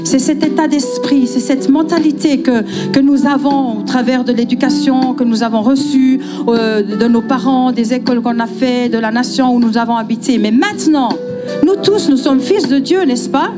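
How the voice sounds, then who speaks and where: High-voiced passionate woman preaching over music. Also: normal speed. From Switzerland. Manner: passionate, emphatic, repeats words for effect. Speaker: guest preacher. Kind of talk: sermon. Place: church conference hall. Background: guitar and piano music.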